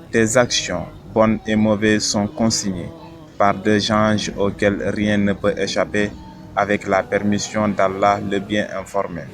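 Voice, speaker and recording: A man with a fairly low voice recites devotional verse in a solemn, measured way. His delivery is earnest and exhorting, shaped like scripted rhyming poetry. Faint music sits behind the voice.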